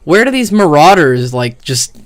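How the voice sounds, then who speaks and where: A man doing casual, unscripted game commentary as a streamer, profane and opinionated, on a microphone turned up too high. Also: sing-song, normal speed. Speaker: streamer critiquing a video game. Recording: overdriven, too-hot microphone.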